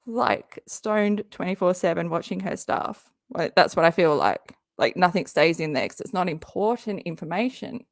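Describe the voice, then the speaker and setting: A woman hosting a casual live stream, chatty and jokey, who talks straight to her viewers and is hoarse from often losing her voice. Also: lowish voice, lively intonation, moderate pace, hardly any pauses, no echo. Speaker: YouTube live-stream host and comedian.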